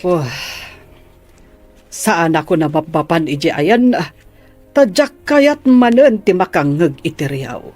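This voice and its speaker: A woman's voice: on the low side, sing-song, slow, pauses often, darkish tone, faint music behind, heavily acted. A woman acting in a radio drama as an old, indignant grandmother who complains and grumbles. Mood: indignant, complaining.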